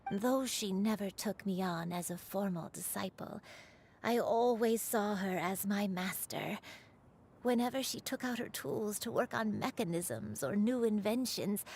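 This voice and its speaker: A woman voicing a gentle, guilt-burdened old grandmother in a video game, speaking tenderly and formally like a storyteller. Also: mid-range voice, unhurried, husky. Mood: wistful. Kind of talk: dramatic video game voice acting.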